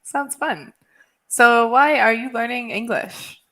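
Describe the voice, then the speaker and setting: A woman's voice: on the high side, slow, sharp tone. A woman from California with an American accent, an English tutor chatting casually and laughing often. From California, USA. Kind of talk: casual video-call chat. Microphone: video call.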